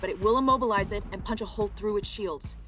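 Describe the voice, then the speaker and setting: A woman's voice: mid-range voice, quick pace, close to an everyday voice. A woman playing a plucky, wryly candid scientist under fire in a video game, speaking with urgency. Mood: tense. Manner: urgent, wry. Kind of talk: dramatic video game acting. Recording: loud game music and vehicle noise.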